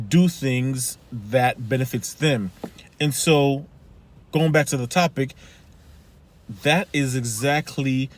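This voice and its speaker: A man with a Haitian accent giving an earnest motivational talk as a life coach, often asking "right?". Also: medium pitch. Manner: earnest, repeats "right?" and "keep it moving".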